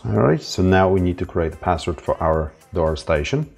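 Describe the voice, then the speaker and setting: Man, from Ireland, very low voice, relaxed tutorial narration, faint music. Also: normal speed, creaky. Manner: relaxed, step-by-step with fillers. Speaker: installer demonstrating setup.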